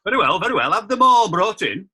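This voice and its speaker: A man's voice: high voice, big pitch swings, fast talker, bright, forceful tone, husky, exaggerated voice. A man playing a curt, authoritative medieval judge presiding over a court. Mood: commanding. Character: brisk, commanding medieval judge. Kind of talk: amateur radio drama.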